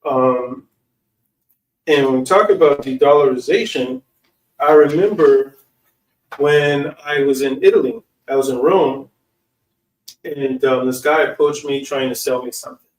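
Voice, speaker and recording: An American man telling personal stories casually, with an informal, slangy and halting delivery. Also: mid-range voice. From United States. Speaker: online speaker and channel host. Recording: echoey room, distant from mic.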